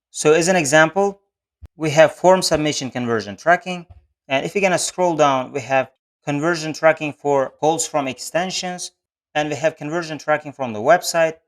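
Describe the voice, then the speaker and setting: Higher-pitched man narrating a tutorial. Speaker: online advertising tutorial presenter. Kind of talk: step-by-step screen-share tutorial narration. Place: voice-over on a screen recording.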